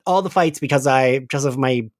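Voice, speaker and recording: A man hosting a podcast in casual chat, with an animated, self-deprecating manner. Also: mid-range voice, brisk, no echo, studio quality. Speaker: podcast host.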